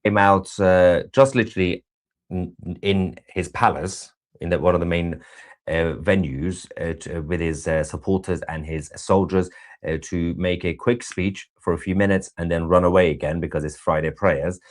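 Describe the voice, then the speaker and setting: A man co-hosting a live stream, giving unscripted news commentary in a halting way with pauses mid-sentence. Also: low-pitched, fairly even intonation.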